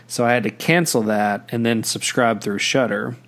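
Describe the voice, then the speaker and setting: A man's voice: mid-range voice, moderate pace, vocal fry, a bit bright. A man hosting a podcast in casual chat, rambling with many fillers and restarts. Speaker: podcast host.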